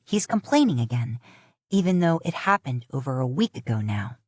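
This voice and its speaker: A woman narrating a fan fiction audiobook in a calm, even storytelling manner. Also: extremely low pitch, normal speed, no room sound, clean studio sound. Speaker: podfic narrator.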